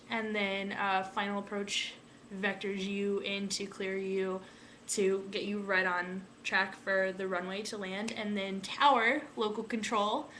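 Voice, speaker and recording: A woman's voice: medium pitch, fairly bright tone, a little hiss or hum. A young American woman chatting informally in a casual vlog, rambling and peppering her talk with filler words like "like" and "you know". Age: young adult. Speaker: vlogger, aviation student.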